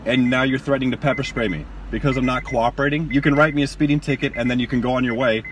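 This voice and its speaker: A man arguing with an officer at a traffic stop, talking in a frustrated, rapid way. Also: medium pitch, steady tone. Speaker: driver in a traffic-stop video. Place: in a car at the roadside. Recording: distant in-car phone recording.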